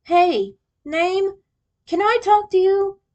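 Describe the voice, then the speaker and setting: A woman's voice: extremely high pitch, slow, a little mellow, pitched above the performer's own voice. A woman reading the part of an earnest, sheepish teenage male turtle leader in a fan story. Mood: insistent.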